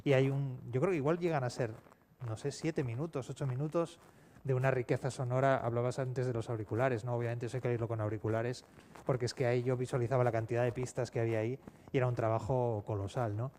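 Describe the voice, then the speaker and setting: A man talks quickly in a soft, mellow voice as he speaks informally on a panel. There is faint noise of a live event behind him. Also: medium pitch, steady tone. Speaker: panelist, audio drama writer. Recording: noisy live event room.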